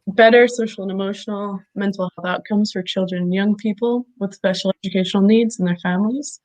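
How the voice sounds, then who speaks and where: A woman's voice: medium pitch, rough recording. A woman hosting an online video talk, friendly and hesitant, with many ums. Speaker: talk host. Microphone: video call.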